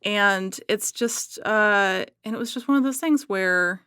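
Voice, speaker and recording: A woman talking casually as a podcast guest, rambling a little and haltingly as she thinks aloud. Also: mid-range voice, sharp tone, clean tone, no echo, studio quality.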